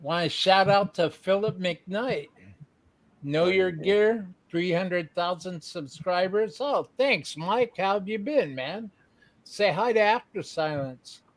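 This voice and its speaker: A man's voice: high-pitched, slow pace, dry recording. A man hosting a live-streamed show, chatting casually with a band, reading out chat messages and laughing often. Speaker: livestream show host.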